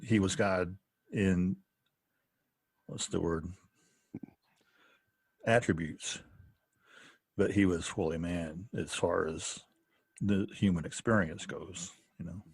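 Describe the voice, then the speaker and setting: A man co-hosting a podcast, talking through theology in a casual, halting way with many restarts. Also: low-pitched, a little mellow, husky, no room sound. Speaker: podcast co-host.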